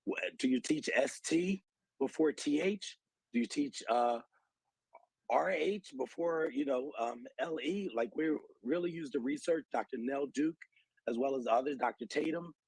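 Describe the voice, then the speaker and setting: A man's voice: on the high side, measured pace, gravelly, darkish tone, slightly rough, no room sound, low-quality recording. An American man giving earnest, articulate explanations as an interview guest, citing research and figures like an advocate.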